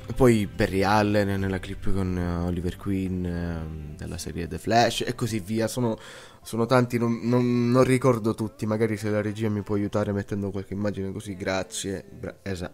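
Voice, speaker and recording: A man's voice: deep voice, gravelly. A man, an amateur voice actor, casually and jokingly greeting channel followers. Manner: jokey.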